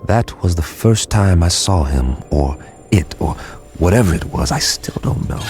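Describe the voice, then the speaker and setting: An actor playing a wry, detached scientist in a radio drama, conversational and musing, with a gravelly voice. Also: male voice, deep voice, expressive, unhurried, a little mellow, faint music behind. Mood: wry, reflective. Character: wry, detached male scientist recounting alien arrival.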